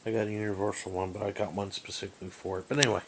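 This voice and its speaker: A man's voice: lowish voice, level tone, very fast, very noisy. A man giving a casual, unscripted gadget review in a rambling, hesitant way, often searching for words. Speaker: amateur radio hobbyist reviewing gear. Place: small bedroom indoors.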